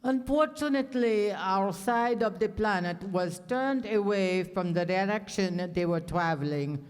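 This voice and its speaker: A woman plays an obedient onion general in a radio comedy. The voice is smooth and resonant, deliberate and apologetic, with hall reverb. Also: medium pitch, natural voice. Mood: stiff, apologetic. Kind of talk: old-time radio comedy acting. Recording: reverberant hall stage recording.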